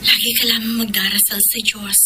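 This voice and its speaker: An actress playing a tender, sorrowful Filipino woman saying farewell in radio drama style, softly and breathily. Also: mid-range voice, flat delivery, a little fast, background noise, lightly acted. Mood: tender, resigned.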